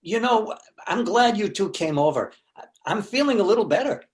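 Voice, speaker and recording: A man playing a neurotic, self-pitying jilted husband in a stage comedy reading, meek and halting. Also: high-pitched, expressive. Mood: reluctant, defensive. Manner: self-deprecating, halting, stammering. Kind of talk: stage comedy readers theater.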